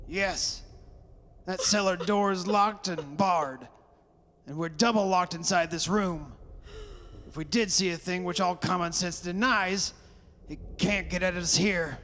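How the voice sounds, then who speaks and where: A male actor plays a blustering, sceptical father in an old-time radio horror play. His voice is high and forceful, insistently arguing. It rings through a reverberant hall with clear hiss. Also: unhurried. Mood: firm denial. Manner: insistent, argumentative. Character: stubborn sceptical father, proud and blustering, rationalising away terror. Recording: hissy recording in a reverberant hall.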